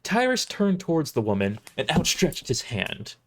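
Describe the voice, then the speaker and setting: A man narrating a first-person story live, reading aloud a little haltingly with self-corrections. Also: on the high side, huge pitch swings, fairly bright tone. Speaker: narrator and performer of own audio drama.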